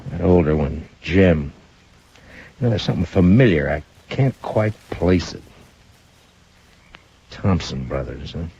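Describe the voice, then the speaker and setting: An American man playing a steady, tough, suspicious frontier marshal in an old-time radio western, laconic and authoritative. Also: low-pitched, measured pace, vocal fry, pauses often, slightly rough, heavily acted. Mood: wary, musing. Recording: dull old-time radio drama recording.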